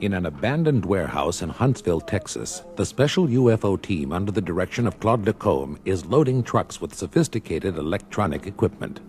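An actor narrating a science fiction story record in a scripted, dramatic style. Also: male voice, low voice, sing-song, fairly quick, few pauses, a little mellow.

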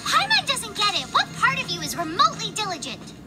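A woman voicing a tiny, excitable, cheeky fairy companion girl in an anime video game, with a squeaky childlike voice. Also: a little fast, over loud music, heavily acted. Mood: indignant. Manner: speaks of herself in third person.

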